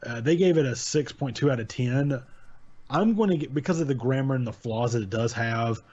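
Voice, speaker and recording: A man's voice: mid-range voice, a little fast, darkish tone. A young man hosting a casual chat about horror stories, rambling and laid-back, correcting himself as he goes, on a desk microphone. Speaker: channel host reviewing horror stories. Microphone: desk mic with pop filter. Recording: dull lo-fi home recording.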